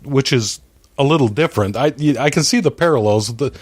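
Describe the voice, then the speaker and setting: A man's voice: medium pitch, brisk, no room sound. A man speaking as a podcast guest, chatting casually about films in a joking, self-deprecating way. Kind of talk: casual film chat. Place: his home theater.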